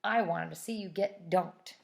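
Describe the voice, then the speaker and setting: A woman's voice: fairly low pitch, a little slow, gravelly. A woman voicing a cocky teenage boy from a storybook, with terse, teasing answers.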